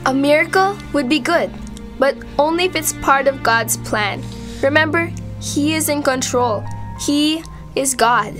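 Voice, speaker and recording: A woman's voice: sits high, unhurried, music in the background. A woman playing a devout, unafraid condemned prisoner, earnest and resolute, in an amateur radio drama.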